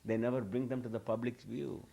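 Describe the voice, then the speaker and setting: A middle-aged man, a spiritual teacher answering questions from an audience in a halting, repetitive way. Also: mid-range voice, steady tone, gravelly, warm tone, low-quality recording.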